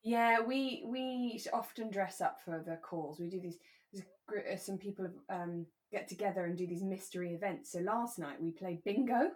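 A woman co-hosting a podcast, chatting casually about a radio drama in a giggly, halting, enthusiastic way. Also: mid-range voice. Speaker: podcast co-host.

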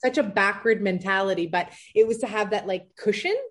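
A woman in her thirties, an entrepreneur answering interview questions in a chatty, lively way with many fillers. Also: mid-range voice, a little fast. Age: 30s. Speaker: entrepreneur guest.

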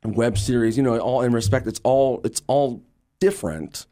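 Animated man telling stories as an interview guest. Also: mid-range voice, moderate pace. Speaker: interview guest, actor and radio drama creator. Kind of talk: enthusiastic conversational storytelling in an interview.

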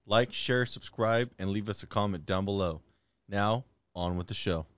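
A man's voice: on the low side, moderate pace, fairly bright tone, no room sound. Brief: male podcast co-host, profane riffing banter, dull dry recording. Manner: profane, riffing, laughing often. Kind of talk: crude comedic banter.

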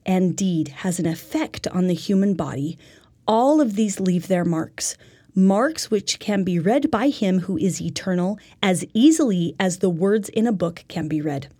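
A woman's voice: on the low side, warm tone, slightly rough. A woman presenting a religious self-help lesson in an earnest, instructive way.

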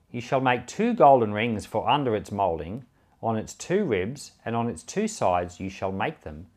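A man reads scripture aloud in a medium-pitched voice. His intonation rises and falls widely as he stresses phrases. The delivery is measured and solemn. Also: darkish tone. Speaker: Bible reader and podcast host.

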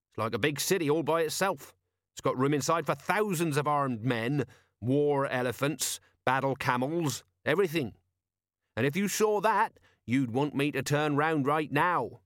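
Man performing male seafarer, blunt, wry, scornful, lifted pitch, audiobook character. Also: a bit bright, no room sound, clean studio sound.

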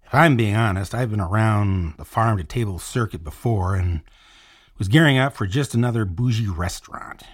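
An actor playing a cynical food critic and podcaster with a husky voice in an audio drama. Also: male voice, lowish voice, normal speed. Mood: polished, enthusiastic.

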